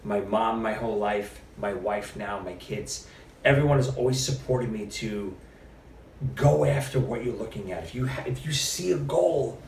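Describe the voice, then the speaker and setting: A middle-aged man, a podcast guest, telling stories in a casual, animated way and acting out what other people said. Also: on the low side, normal speed, vocal fry, a little hiss or hum. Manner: animated storyteller, quotes others with lively shifts. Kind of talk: casual storytelling interview. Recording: roomy, mic at a distance.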